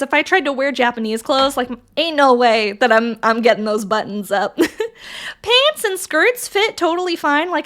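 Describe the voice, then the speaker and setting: Bright high-voiced woman streamer. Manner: laughs often, swears freely, reads chat aloud. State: voice raspy from allergies. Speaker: livestreamer. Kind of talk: casual livestream chat, answering viewers.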